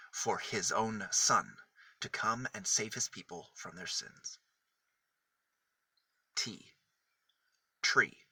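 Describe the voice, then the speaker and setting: A man reading a picture book aloud for small children, with deliberate, emphatic phrasing that sets single words apart. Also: on the low side, pushed and bright, husky, no room sound. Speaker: children's storybook reader.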